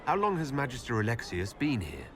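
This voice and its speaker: A man playing a composed, inquisitive elven leader in a fantasy game, measured and questioning. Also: medium pitch, huge pitch swings, noisy background. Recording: music behind the voice.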